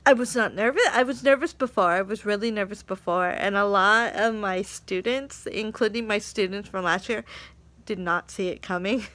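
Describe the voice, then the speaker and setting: Young woman, bright and crisp, dry close sound, chatty vlog storyteller who laughs a lot. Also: mid-range voice, moderate pace, no echo. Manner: animated, laughs often, acts out quotes. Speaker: English teaching assistant.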